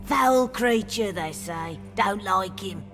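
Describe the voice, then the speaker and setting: A woman's voice: medium pitch, slow. A woman playing a batty, stern old granny who guards orphans in a fantasy game, with an erratic manner. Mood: grudging, muttering. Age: older. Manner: erratic, swinging between scolding and muttering. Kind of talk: video game voice acting. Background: game music.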